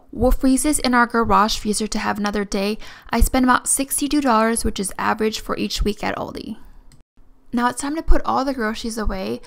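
A woman's voice: fairly high pitch, creaky. A young woman home-making vlogger giving a casual voice-over narration. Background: background music.

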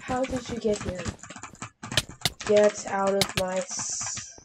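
A woman with a raspy voice, hoarse from being sick, talks slowly through casual gameplay commentary. She gets frustrated easily and breaks into sudden startled exclamations. Loud noise and the clatter of keys and mouse clicks sit under her desk-mic recording. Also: medium pitch. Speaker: gamer. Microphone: desk microphone.

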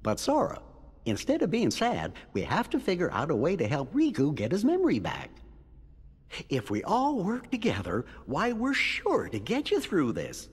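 Casting: encouraging little cricket sidekick, husky, swooping pitch, game cutscene, long reverb. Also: male voice, huge pitch swings, moderate pace, full character voice. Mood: earnest encouragement. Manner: earnest, reassuring. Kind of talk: video game cutscene acting.

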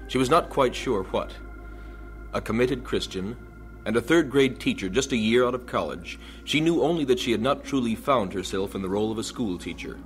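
A man narrating a radio drama in a measured, steady way. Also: medium pitch. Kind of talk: scripted narration. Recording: faint music underneath.

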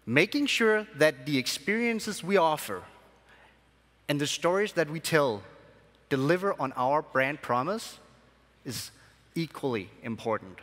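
A young man, born in Sri Lanka and raised in Denmark, giving a keynote speech to a convention audience from a large hall stage with measured, emphatic phrasing. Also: fairly high pitch, lively intonation, fairly bright tone. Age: young adult. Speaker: conference speaker. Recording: long hall reverb.

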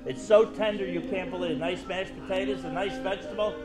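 A man's voice: high-pitched, steady tone, a little fast, music in the background, poor audio. An older man, a restaurant owner, casually and proudly promoting his place on camera, often repeating his phrases. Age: 60s or older. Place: diner back dining room.

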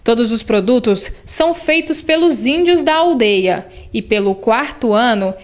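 Brisk woman narrating a news report. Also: a little high, lively intonation.

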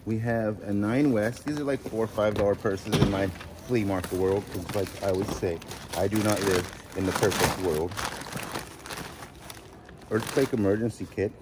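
A man in his forties with a lowish voice hosts a casual vlog. He talks informally and slangily, repeating phrases as he comments on what he handles. Clear outdoor noise and vehicle sounds run behind him. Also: fairly even intonation, normal speed. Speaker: host of a storage auction vlog. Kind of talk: casual vlog chat and commentary while sorting finds. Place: outdoors at a storage unit lot, partly in a vehicle.

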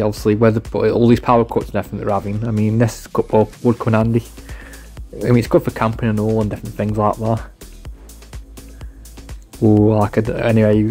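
A man's voice: fairly low pitch, dark tone. A man giving a casual, informal product demonstration, rambling and repeating himself as he goes. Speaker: product reviewer.

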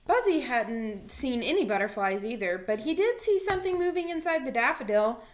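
A young adult woman reads a picture book to little children. Her voice sits a bit high. She is recorded in a room at home, a short way from a computer microphone. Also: very animated, normal speed. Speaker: storytime reader for young children. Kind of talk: read-aloud children's storytime. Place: small home room, indoors.

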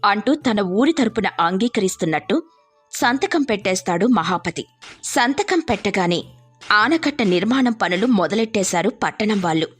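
A woman's voice: medium pitch, animated, normal speed, breathy edge, faint music behind. A woman reading scripted narration as the storyteller of a moral tale.

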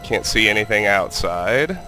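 A man hosting a let's play, calmly talking through the game and reading its text aloud, his voice a little weak from a cold. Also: fairly low pitch, measured pace. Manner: calm, methodical, reads in-game text aloud. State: has a cold, voice slightly weak. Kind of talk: casual gameplay commentary. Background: game music.

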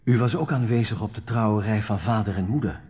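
Polite young guy in a radio play, low n really husky. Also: brisk, dark tone. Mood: gentle. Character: polite, tired, gentle young man, devoted nephew. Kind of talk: radio drama acting.

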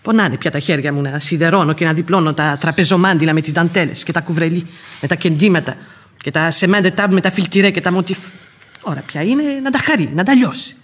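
Husky, feisty old housekeeper. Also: female voice, on the low side. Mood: exasperated grumbling. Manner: blunt, grumbling, argumentative. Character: feisty, outspoken, devoted old housekeeper, long in service. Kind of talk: Greek radio theatre acting.

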